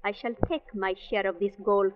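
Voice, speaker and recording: A woman playing a proud, distrustful young Mexican woman with a Mexican accent and a sharp tongue in a 1940s radio drama. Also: medium pitch, steady tone, normal speed, slight background noise, natural voice. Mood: threatening. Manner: sharp-tongued, defiant, commanding. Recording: dull vintage 1940s radio recording.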